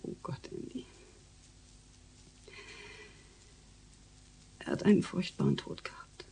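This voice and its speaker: An actress playing a middle-aged female politician and guilt-ridden mother in a radio drama, breathy, with halting, emotional delivery. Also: mellow, lightly acted, low-quality recording. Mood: grieving, disbelieving. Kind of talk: naturalistic German radio drama acting.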